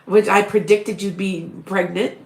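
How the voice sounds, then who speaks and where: Raspy, gravelly woman rambling live. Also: mid-range voice, fairly even intonation, a little slow, roomy sound. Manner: rambling, restarts often, talks straight to viewers. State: head cold, sniffly and short-winded. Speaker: psychic medium live-streaming to followers. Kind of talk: casual live-stream chat.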